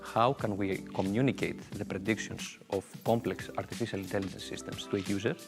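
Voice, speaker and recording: Low-voiced Greek-born researcher, careful, guitar behind. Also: male voice, steady tone, fairly quick. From Greece. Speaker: PhD researcher. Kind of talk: short video self-introduction.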